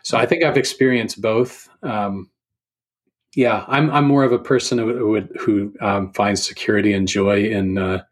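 Casting: male podcast guest, lowish slightly rough-edged voice, conversational, hesitant and reflective. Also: fairly even intonation, normal speed. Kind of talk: casual podcast book chat.

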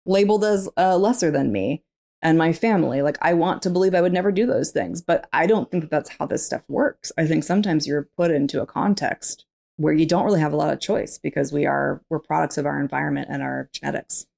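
A woman, a former teacher, co-hosting a casual book discussion podcast, candid and quick to laugh. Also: low-pitched, creaky. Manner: laughs often, animated and candid. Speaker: podcast co-host.

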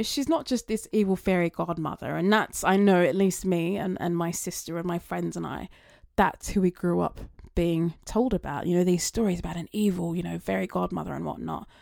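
A woman talking through her thoughts in a chatty, rambling monologue that wanders onto tangents, her voice getting slightly raspy. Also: lowish voice, a little mellow, no echo, studio quality. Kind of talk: casual reflective monologue.

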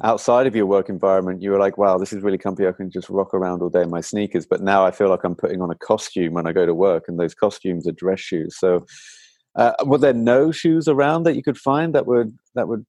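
A man's voice: low voice, fairly even intonation, fairly quick, a little mellow, no echo. A man interviewing a guest, asking loose, rambling questions.

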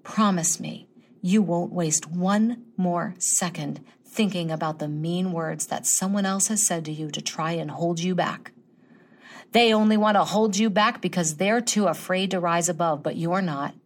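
A woman's voice: fairly low pitch, a little slow. Emphatic, encouraging woman podcast host. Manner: emphatic, encouraging, repeats phrases for effect. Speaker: podcast host, motivational coach. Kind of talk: motivational podcast monologue.

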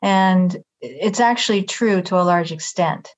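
A middle-aged woman from Japan, a native Japanese speaker, giving measured, thoughtful interview answers and choosing her words carefully. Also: on the low side, lo-fi sound. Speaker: interview guest.

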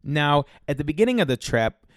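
A man's voice: fairly high pitch, sing-song, brisk, no room sound. A young man rambles through his solo podcast, chatty and foul-mouthed, with a campy, gossipy delivery. He has a cold, so his throat is a bit scratchy and congested. The sound is close and dry with almost no room around it. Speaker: podcast host. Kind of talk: casual solo podcast monologue.

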